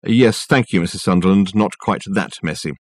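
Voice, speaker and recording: A man's voice: deep voice, normal speed, dry recording. A man voicing a testy, grandiose private detective with pompous, glib, fluent patter. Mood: smoothly businesslike.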